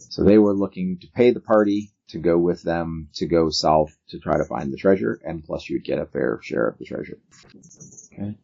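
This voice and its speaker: A man working as game master, narrating a tabletop role-playing game in a halting way, thinking aloud as he goes. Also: low-pitched, vocal fry, darkish tone, breathy edge. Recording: dull, lo-fi sound.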